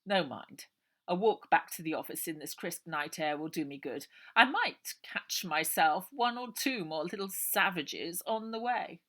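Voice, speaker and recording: A woman voicing a sinister gentleman undertaker with a formal, unctuous manner in a storybook. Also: on the low side, expressive, measured pace, full character voice. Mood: smug, menacing. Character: sinister, smooth gentleman undertaker, menacing villain.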